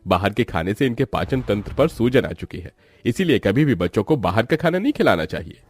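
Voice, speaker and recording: Guy playing a serious story-cartoon doctor, lowish husky voice, emphatic. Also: sing-song, brisk, soft background music. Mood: grave warning. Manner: grave, emphatic. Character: grave male doctor warning worried parents. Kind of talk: acted moral story dialogue.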